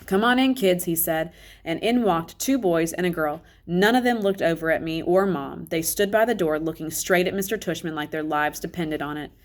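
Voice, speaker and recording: A woman reading a children's novel aloud as an expressive, conversational first-person narration. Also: deep voice, moderate pace. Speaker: read-aloud reader.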